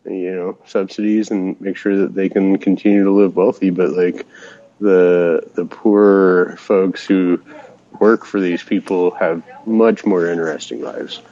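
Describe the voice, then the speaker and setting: A very deep-voiced man calls in from outdoors in the cold, sniffly and hesitant, full of ums and polite apologies. The line sounds dull and lo-fi. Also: flat delivery, measured pace, mellow. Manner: hesitant, filler-heavy, polite. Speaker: caller. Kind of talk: casual phone-in question.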